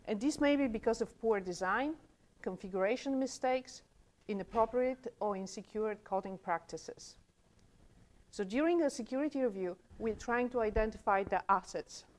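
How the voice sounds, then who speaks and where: A woman in her forties from Bulgaria with a Bulgarian accent, earnestly giving a conference talk at a podium mic in a small room. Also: mid-range voice. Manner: earnest, gestures with both hands. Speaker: conference speaker. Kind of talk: conference presentation.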